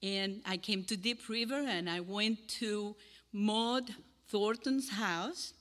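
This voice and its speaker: An older woman from Cuba with a Cuban Spanish accent, giving an earnest sermon to a congregation and pausing between phrases. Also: medium pitch, fairly even intonation, fairly bright tone. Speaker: guest speaker. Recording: hall with long reverb.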